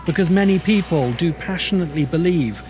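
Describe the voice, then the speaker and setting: A man's voice: a little high, lively intonation, brisk, darkish tone. A man delivering scripted video essay narration in an emphatic, opinionated, rhetorical manner. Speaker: host and writer of science fiction video essays. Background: background music.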